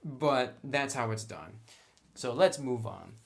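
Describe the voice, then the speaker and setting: A man's voice: medium pitch, expressive, slow, creaky, slight background noise. A man giving a casual, opinionated review as a tabletop game reviewer, talking in an informal, conversational way.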